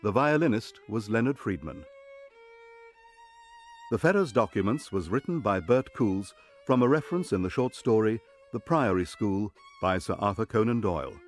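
A man's voice: lowish voice, animated, moderate pace. A man with a British accent reading scripted credits as a radio announcer. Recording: violin music underneath.

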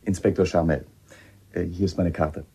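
A man's voice: deep voice, steady tone, normal speed, warm tone, breathy edge, full character voice. A man acting a shrewd, polite police inspector in a radio drama, speaking in a probing, matter-of-fact, slightly hesitant way. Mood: probing, firm.

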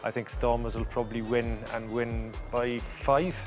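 A man's voice: medium pitch, moderate pace, faint music behind. A man in his thirties from Wales, his Welsh accent mostly faded, chatting easily and modestly as a studio guest. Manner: relaxed, easygoing, modest. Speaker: guest, rugby performance coach. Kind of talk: studio interview, casual.

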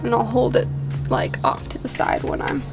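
A young woman talks through a home haircut in a chatty, easygoing way and pokes fun at her own skills. Her voice sits a little high with a gravelly fry. She is recorded outdoors on a deck, with music playing underneath. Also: sing-song, moderate pace. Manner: chatty, explains as she works, laughs at herself. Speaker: vlogger cutting her husband's hair. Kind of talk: casual how-to chat.

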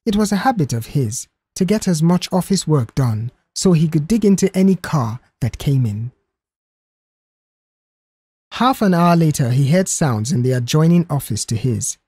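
Expressive storyteller, mellow and husky. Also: mid-range voice, normal speed, no echo. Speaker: storyteller reading a mystery audio series. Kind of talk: scripted audio story narration.

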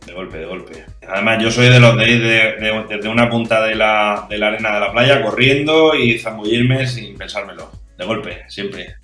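A man's voice: fairly low pitch, normal speed, echoey room, soft background music. A man in his forties from the Murcia region, chatting casually and fondly about beaches over a video call with a headset. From Murcia region, Spain. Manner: relaxed, reminiscing, chatty. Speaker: interviewee. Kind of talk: casual personal chat. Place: small room indoors. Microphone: headset mic on a video call.

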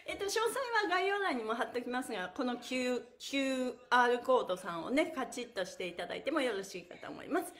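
A woman's voice: fairly high pitch, very animated, a little fast, hardly any pauses, a bit bright. A middle-aged woman leading a cheerful exercise livestream as an instructor, upbeat and exclamatory with sing-song encouragement. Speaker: stretching instructor and mime performer. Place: small bare indoor studio room, on a mat. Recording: echoey room.

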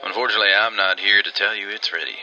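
A man's voice: on the low side, steady tone, very fast, bright, forceful tone, highs cut off. An actor playing a plain-spoken, guarded small-town railman in a radio drama, dry and matter-of-fact. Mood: apologetic, matter-of-fact. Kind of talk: old-time radio drama acting.

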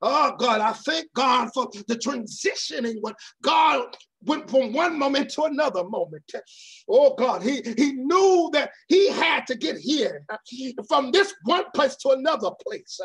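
Husky, very high-voiced fervent preacher man. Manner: fervent, cries out "Oh, God" between phrases; swings between shouts and hushed drops. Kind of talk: impassioned sermon.

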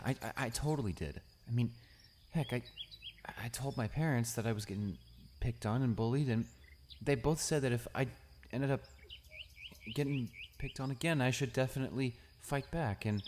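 A young man acting a shy, anxious, bullied high school student in an audio roleplay, stammering and hesitant. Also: darkish tone, slight background noise, close to an everyday voice. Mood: troubled, muttering. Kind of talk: romantic audio roleplay, one-sided dialogue.

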